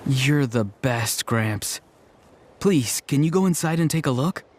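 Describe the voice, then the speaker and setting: A woman's voice: extremely low pitch, animated, a little slow, background noise. A young woman voicing an earnest, naive, boyish young hero in a video game, sincere and a little quirky. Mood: earnest, pleading. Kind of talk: video game character dialogue. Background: game background music.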